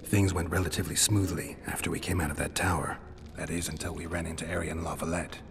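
An actor playing a gruff, hardened, sardonic monster hunter held prisoner in a video game, with a dry deadpan delivery. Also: male voice, low-pitched, quick pace, big-room sound, background noise. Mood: dry, wary. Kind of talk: dramatic video game acting.

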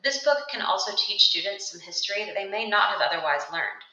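A woman's voice: medium pitch, a little fast, roomy sound, dull audio. A young adult woman giving a scripted, earnest voice-over that recommends books for a classroom library. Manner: steady, earnest read-aloud delivery. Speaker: student presenting book recommendations. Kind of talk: scripted narration of a video ad. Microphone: voice-over over still book pages.

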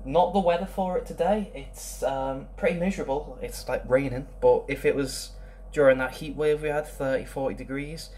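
A man's voice: a little high, moderate pace, darkish tone, slight background noise. A young man casually reviewing a beer at home, rambling with lots of ums. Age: young adult. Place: small room indoors.

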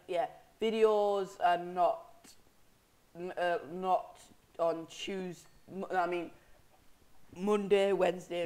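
A young man with a sniffly head cold, casually commenting on a game he is playing, rambling and correcting himself as he goes. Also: sits high. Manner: rambling, self-correcting, mock-angry at enemies. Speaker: gameplay commentator.

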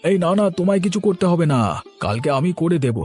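A man's voice: sits high, animated, a little fast, a little mellow, soft background music. A man voicing an ageing, affectionate, hard-working village husband in a cartoon story. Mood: affectionate, coaxing. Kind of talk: Bengali cartoon story acting.

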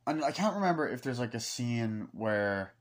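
A man in his twenties giving a rambling, informal, sweary movie review, excitable and breaking into acted-out snatches of dialogue. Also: medium pitch, moderate pace. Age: 20s. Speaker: amateur film reviewer. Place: small wood-panelled room indoors. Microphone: laptop microphone at close range.

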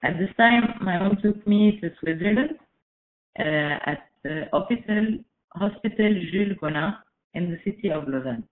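A woman in her twenties from Egypt giving a prepared webinar talk, careful and measured, with frequent "uh" fillers. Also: medium pitch, animated. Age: 20s. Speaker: webinar speaker. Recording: echoey, phone-like webinar audio.

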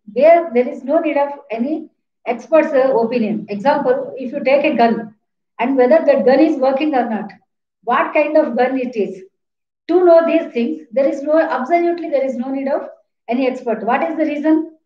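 A woman in her fifties with an Indian accent, lecturing an online class in a didactic, repetitive way with questions to students. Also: high-pitched. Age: 50s. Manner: didactic, repeats points, poses questions. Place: small plain room indoors. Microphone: webcam or laptop mic at a distance. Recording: roomy, echoing, dull laptop-mic sound.

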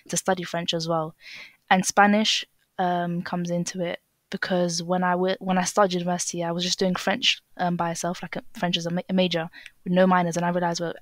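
Young English woman, lower register, loose conversational guest, lots of ums and restarts. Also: flat delivery, fast, no room sound, clean studio sound. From England. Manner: hesitant, many fillers and restarts. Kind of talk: casual interview chat.

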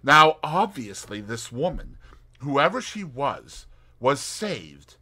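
A man reading an audiobook, voicing a brisk and determined young woman detective with emphatic, crisp speech. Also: mid-range voice, very animated, bright, forceful tone, pitched above the performer's own voice, full character voice.

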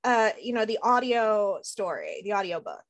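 A woman's voice: mid-range voice, sing-song, moderate pace. A middle-aged woman hosting a casual interview over a video call from home, informal and enthusiastic. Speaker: interviewer, host. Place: attic room at home, indoors, on a video call. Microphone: video call computer microphone.

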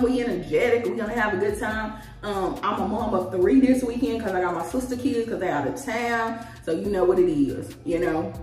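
A woman, chatting casually to camera in a rambling, animated, slangy way as a vlogger. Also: mid-range voice. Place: small tiled indoor room at home. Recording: echoey room, distant mic, music behind.

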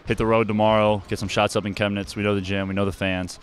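A young basketball player speaking English in a relaxed, casual post-game interview with plenty of fillers, recorded on a handheld mic in an arena. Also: male voice, fairly low pitch, fast talker, slight background noise. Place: indoor arena.

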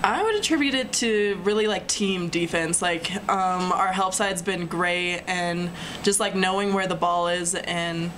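A young woman with a slightly low voice answers press questions as a college basketball player. Her answers are casual and full of "like", spoken into a desk microphone. A faint hum of the room lies behind. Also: unhurried, hardly any pauses. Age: young adult. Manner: casual, heavy use of "like". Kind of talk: post-game press conference answers. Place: press room in front of a sponsor backdrop.

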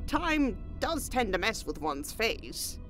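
A whimsical, riddling hermit woman in a fantasy audio drama. Her pitch is high and swings wildly, with slow, theatrical delivery. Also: very animated, fairly bright tone, soft background music, exaggerated voice. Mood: cryptic. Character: eccentric, cryptic hermit woman, whimsical wandering time-seer.

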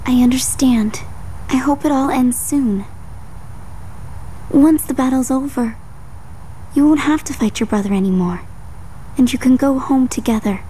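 Gentle, high-voiced young warrior girl. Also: measured pace, long pauses, slight background noise, poor audio. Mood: concerned. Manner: soft, hesitant, earnest. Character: gentle, wistful young woman warrior, unsure of herself. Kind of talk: video game drama acting.

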